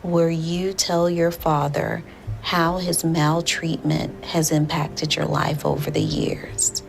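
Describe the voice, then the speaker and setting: A woman speaking as a therapist in a session, with deliberate, formal phrasing. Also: low voice, animated, soft background music. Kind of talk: measured counseling session talk. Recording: clear background room noise.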